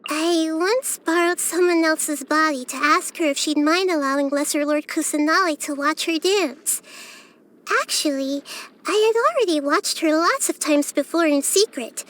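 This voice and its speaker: A small child-like goddess from a fantasy video game, her voice high and girlish. She speaks gently and thoughtfully, like someone far wiser than she sounds. Her phrasing is measured and earnest, with a steady curiosity about people and the world. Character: small wise young girl deity, gentle and curious. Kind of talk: scripted video game character voice lines.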